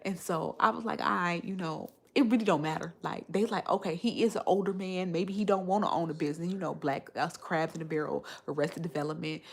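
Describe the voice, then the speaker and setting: A woman's voice: fairly low pitch, fairly even intonation, fairly quick, vocal fry, hardly any pauses, slightly rough. An American woman in her thirties chatting casually on a livestream, slangy and emphatic, repeating phrases to make her point. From Chicago, United States. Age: 30s. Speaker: live streamer. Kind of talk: casual livestream chat, animated storytelling.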